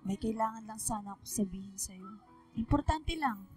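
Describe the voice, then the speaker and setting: A woman's voice: medium pitch, moderate pace, faint music behind, close to an everyday voice. A woman acting an emotional young mother in a radio drama, pleading and scolding. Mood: anxious, hesitant. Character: young pregnant girlfriend, later a nagging, caring mother.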